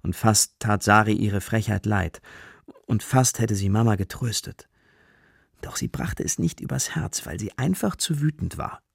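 A man's voice: fairly low pitch, animated, measured pace, creaky, husky, dry recording, studio quality, exaggerated voice. A man narrating a children's radio play as a storyteller, musing in rhetorical questions. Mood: confiding. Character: storyteller following a worried girl's adventure.

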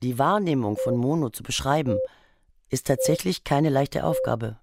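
A man's voice: mid-range voice, normal speed, a little mellow, a little hiss or hum. A man reading scripted radio documentary narration in a formal, factual manner. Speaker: feature narrator.

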